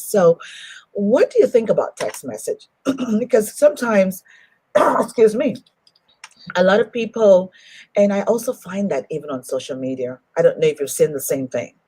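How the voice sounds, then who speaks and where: A woman hosts a live online talk show, and her voice sits low and mellow. She speaks effusively, warmly greeting viewers one by one. The sound is dry and close. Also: breathy edge, dry recording, low-quality recording. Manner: effusive, welcomes viewers by name. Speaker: host.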